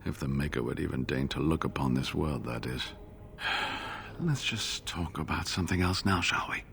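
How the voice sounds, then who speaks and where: An actor playing a weary, earnest scholar in a fantasy video game, speaking in a measured, formal, rueful way. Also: male voice, a bass voice, creaky, a bit bright, slight background noise, exaggerated voice. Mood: bitter.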